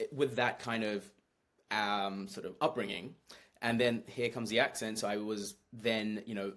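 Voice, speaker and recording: A man with a mixed New Zealand and British accent speaks in a low, relaxed register. He is friendly and chatty, telling stories with plenty of restarts. His talk is casual podcast conversation. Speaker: guest physician.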